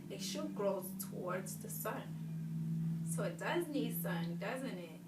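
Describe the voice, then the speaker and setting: A woman's voice: mid-range voice, animated, slow. Female teacher for a children's read-aloud lesson, prompting with questions; roomy, far-from-mic sound. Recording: roomy, distant mic, clear background noise.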